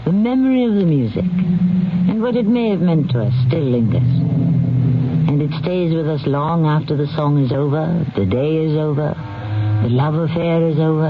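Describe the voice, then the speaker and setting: A man hosting a radio mystery, giving deliberate, ominous scripted narration with dramatic flair. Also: fairly high pitch, expressive. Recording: muffled 1970s radio broadcast, clear hiss.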